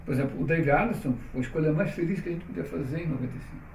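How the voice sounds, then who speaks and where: A man's voice: fairly high pitch, rapid-fire, vocal fry, a little mellow. A middle-aged man, telling stories in an interview in a chatty way, often tagging his sentences with a question. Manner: chatty, frequent "né?" tags, restarts. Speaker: interviewee, publisher. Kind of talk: relaxed conversational storytelling. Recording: roomy, distant mic, faint noise.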